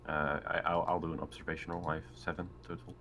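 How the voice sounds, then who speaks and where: A man's voice: deep voice, level tone, quick pace, fairly bright tone. A man playing a tabletop game online, talking casually with the group. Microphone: online voice call. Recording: faintly noisy online voice chat.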